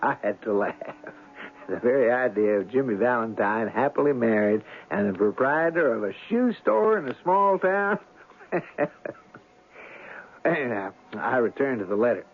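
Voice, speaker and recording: Actor as genial radio storyteller, band-limited sound. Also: male voice, medium pitch, expressive, moderate pace, phone-quality sound, lightly acted. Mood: wry, reminiscing. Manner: conversational, reflective storyteller. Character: genial, wry male writer narrating his own tale. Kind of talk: old-time radio drama storytelling. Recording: band-limited old radio broadcast, faint music.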